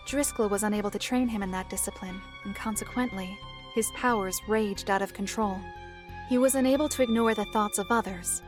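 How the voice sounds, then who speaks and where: A solemn storyteller, played by a woman, telling dark fantasy lore in a middle-pitched voice. The delivery is formal and measured, like a scripted visual novel narration, with faint music underneath. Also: natural voice.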